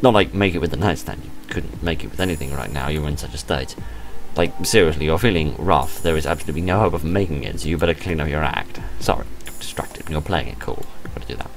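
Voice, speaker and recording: A British man reading a visual novel aloud as a let's play host, adding expressive, sarcastic, deadpan asides over game music. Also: rumbling low, fast, hardly any pauses. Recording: music behind the voice.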